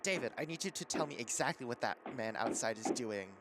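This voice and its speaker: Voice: medium pitch, expressive, fairly quick, very noisy, natural voice. An actor playing a harried, exasperated male radio host in an audio drama, stammering and strained. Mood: urgent, strained.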